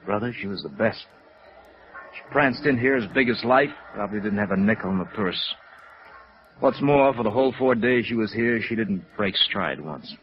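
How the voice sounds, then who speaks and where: An American actor playing a middle-aged, chagrined hotel operator in a 1950s radio drama, rueful and emphatic. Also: male voice, fairly low pitch, expressive, normal speed, lightly acted. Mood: rueful, exasperated. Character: chagrined, rueful gray-haired hotel operator, thirty years in business. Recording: dull vintage radio recording.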